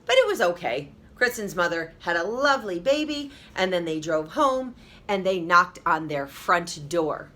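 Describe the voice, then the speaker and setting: Animated woman reading a children's story. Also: lowish voice, measured pace. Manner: emphatic, interactive, addresses young listeners. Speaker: teacher reading aloud. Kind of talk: expressive children's story read-aloud.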